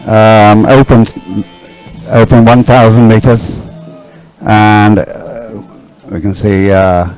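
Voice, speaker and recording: A man's voice: on the low side, little pitch movement, slow pace, a little mellow, soft background music, poor audio. A man giving live race commentary as a sports commentator, in a hesitant way, as if thinking aloud.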